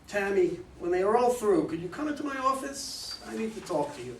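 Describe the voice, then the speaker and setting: A man's voice: high voice, sing-song, brisk. A man in a staged play reading as a suspicious, decisive investigator boss, with an emphatic, theatrical delivery. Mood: stern, probing. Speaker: reader in a community play reading. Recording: distant mics, echoey room, faint noise.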